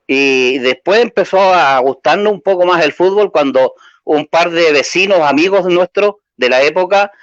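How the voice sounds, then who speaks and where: A man's voice: on the high side, normal speed, no echo. A man from Chile with a Chilean accent, a guest in a casual interview, hesitant and full of fillers. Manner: hesitant, many fillers, slightly nervous. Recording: dry lo-fi sound.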